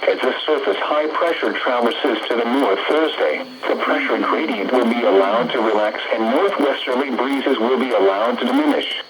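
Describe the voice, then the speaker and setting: A man's voice: medium pitch, expressive, normal speed, few pauses. A synthetic man's voice reading a scripted weather bulletin over the radio in formulaic, impersonal, clipped phrases. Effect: synthetic text-to-speech voice over radio. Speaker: automated weather radio announcer. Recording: noisy weather radio broadcast capture.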